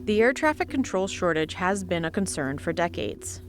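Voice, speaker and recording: Low-pitched woman narrating a news report. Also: very animated, normal speed, music in the background. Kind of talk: scripted documentary narration.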